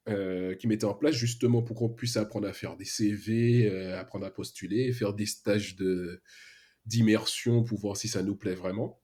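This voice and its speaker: A man's voice: lowish voice, a little mellow. A young man from Martinique with an Antillean accent, telling his story casually in a podcast interview, with lots of hesitations and slang. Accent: Antillean French. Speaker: podcast guest, freelance web developer.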